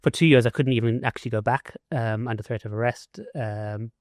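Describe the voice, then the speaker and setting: A man's voice: mid-range voice, fairly quick, a little mellow, no room sound, studio quality. Casual-talking man from South Africa. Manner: casual, frequent "like" and "you know" fillers, restarts. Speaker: author and investigative journalist. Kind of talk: interview answers.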